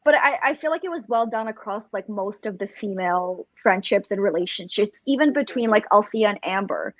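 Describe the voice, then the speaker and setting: A woman chatting casually and earnestly in a book discussion, halting as she searches for words, on dull livestream audio. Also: medium pitch, expressive, no room sound.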